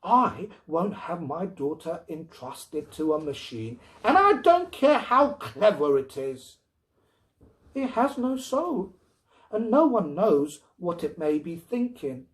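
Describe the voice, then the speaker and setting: Storybook wife and mother, played by a man: high, swooping delivery, indignant, anxious, insistent. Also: very animated, takes it slowly. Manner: pleading, emphatic.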